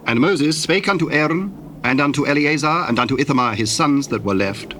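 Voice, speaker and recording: A man's voice: on the high side, sharp tone, slight background noise. Solemn male scripture narrator. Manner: formal, solemn, deliberate.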